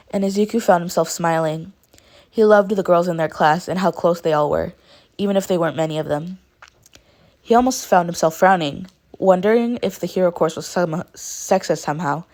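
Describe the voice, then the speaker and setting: A woman tells a piece of fan fiction aloud. Her voice sits a little low for a woman, and she reads as an expressive amateur storyteller.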